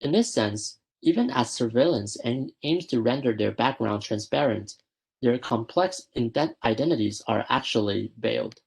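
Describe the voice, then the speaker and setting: A young man presenting a prepared academic paper at a student research school, reading in a measured way and often correcting himself mid-word. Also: medium pitch, animated, vocal fry, breathy edge. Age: young adult. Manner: measured, with frequent self-corrections.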